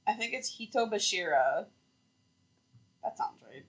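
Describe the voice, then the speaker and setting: A woman co-hosting a podcast in a loose, chatty way, with a voice of middle pitch for a woman. She strings her telling together with plenty of "like" fillers and breaks into laughter often. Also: lively intonation, a little slow. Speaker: podcast co-host. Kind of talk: casual chat.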